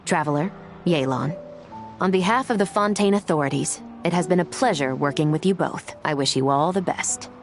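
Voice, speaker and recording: An actress playing a composed female police officer in a game, speaking in a formal, firm and measured way. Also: low-pitched. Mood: stern. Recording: game dialogue over loud music.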